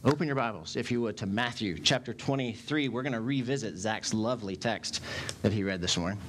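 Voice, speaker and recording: A man in his forties, a pastor preaching a sermon in a church sanctuary on a headset mic, animated and gesturing as he speaks. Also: fairly low pitch, a little fast, a little hiss or hum.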